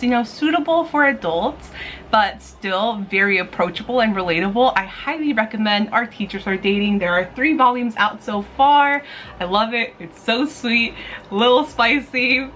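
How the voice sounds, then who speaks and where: Female vlog reviewer, highish voice, gushing and playful, chatty delivery, noticeable background noise. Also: fairly bright tone. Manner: gushing, giggly, playful, informal with mild swearing. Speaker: host of a book review vlog. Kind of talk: casual chatty review to camera.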